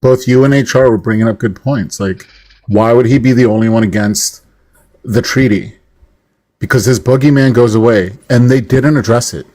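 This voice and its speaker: A man's voice: medium pitch, normal speed, darkish tone, poor audio. A man on a debate panel, arguing his point at length, profane and heated. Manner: argumentative and profane, rants at length.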